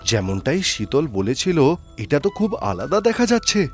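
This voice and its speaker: A kindly, earnest cartoon food seller, poor but generous , acted by a man. His delivery is gentle, with a lot of melodic movement. Background music runs beneath the voice. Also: a little high, very animated, moderate pace. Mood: hopeful. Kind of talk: cartoon story acting.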